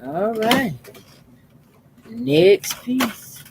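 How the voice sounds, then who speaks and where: A man in his fifties, a quilting channel host, calmly talking through a how-to demonstration at his sewing machine with his voice deliberately kept low. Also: vocal fry, background noise. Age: 50s. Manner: talks unhurriedly while sewing, deliberately keeping his voice lowered.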